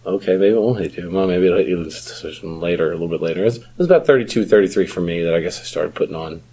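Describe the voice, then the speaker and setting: Male co-host, 30s, lowish husky voice, relaxed conversational chat. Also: fast. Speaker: podcast co-host. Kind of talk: casual chat.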